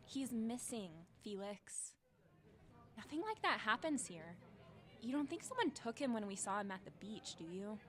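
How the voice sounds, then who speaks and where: A woman playing an earnest, stubborn teenage girl who is quick to scold, in an audio drama. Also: on the high side, big pitch swings.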